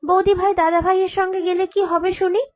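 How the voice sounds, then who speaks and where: A woman's voice: very high voice, flat delivery, a little fast. A woman voicing a caring, outspoken young sister-in-law in a cartoon, coaxing and forthright. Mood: reproachful. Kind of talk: cartoon character dialogue. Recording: music behind the voice.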